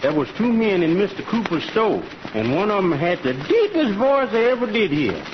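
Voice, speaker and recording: Ranch helper: high voice, humble, folksy, noisy vintage recording. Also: male voice, very animated, normal speed. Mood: eager, helpful. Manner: folksy, deferential. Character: simple, deferential ranch hand. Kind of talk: old-time radio Western acting. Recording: noisy, muffled old radio recording.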